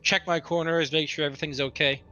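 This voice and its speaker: Bright-voiced man player on lo-fi audio. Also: fairly high pitch, steady tone, fast talker. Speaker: tabletop game player. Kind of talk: casual chat describing his character's actions. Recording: slightly lo-fi voice audio.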